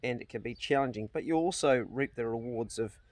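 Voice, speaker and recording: A New man in his fifties hosting a casual chat, reflective and unhurried, often repeating words as he starts a thought. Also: mid-range voice, no echo. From New Zealand. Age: 50s. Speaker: channel host. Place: outdoors at a farm.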